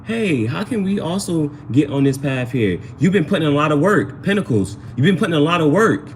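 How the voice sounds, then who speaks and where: A man's voice: a little high, animated, brisk, slight background noise. A man hosting a casual live tarot reading, chatting to viewers in slangy speech and often repeating his phrases. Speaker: tarot reader, livestream host.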